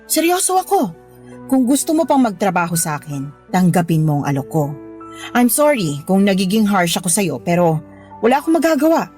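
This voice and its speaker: A woman's voice: mid-range voice, sing-song, moderate pace, darkish tone, natural voice. Woman acting a lonely, seductive widow, swooping voice. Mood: insistent. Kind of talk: Tagalog radio drama acting. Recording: background music under the voice.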